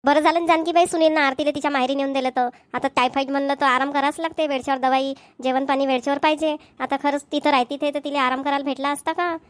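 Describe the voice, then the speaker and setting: Woman playing a chatty village sister, high-pitched. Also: steady tone, quick pace, hardly any pauses, lightly acted. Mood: concerned. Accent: Vidarbha Marathi. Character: chatty, concerned middle-aged village sister. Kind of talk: amateur cartoon dialogue.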